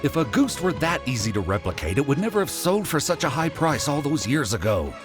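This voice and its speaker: A man's voice: fairly high pitch, big pitch swings, brisk. A man voicing a blunt, impatient merchant, the younger brother, in a video game. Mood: insistent, angry. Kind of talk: video game character acting. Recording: game music clearly underneath.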